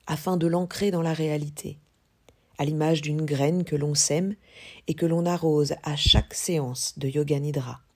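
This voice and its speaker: Female meditation guide: very unhurried, slightly breathy husky voice, calm instructions, long pauses. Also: low voice. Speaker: yoga teacher. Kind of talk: guided yoga nidra meditation.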